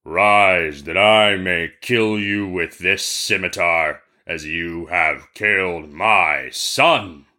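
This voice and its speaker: Storybook genie, male narrator acting: enormous, ancient, merciless, commanding and formal, pitched down low. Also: slow, hardly any pauses, sharp tone, heavily acted. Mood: wrathful, stern.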